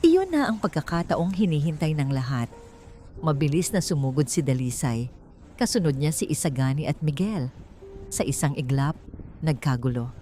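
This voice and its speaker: A woman's voice: deep voice, darkish tone. A woman reading a scripted, dramatic romance story as its narrator. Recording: faint music behind. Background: faint music.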